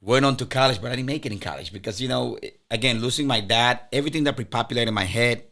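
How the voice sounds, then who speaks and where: A man's voice: medium pitch, quick pace, a bit bright. A man in his fifties from the Dominican Republic, a native Spanish speaker, talking earnestly as a guest on a podcast. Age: 50s. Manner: earnest, stammers on restarts, laughs often. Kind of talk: casual interview chat.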